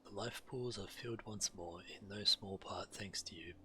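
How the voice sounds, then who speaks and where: A man's voice: on the low side, normal speed, bright, forceful tone, a little hiss or hum. A man who streams games, reading fantasy quest dialogue aloud. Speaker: game streamer. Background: game music.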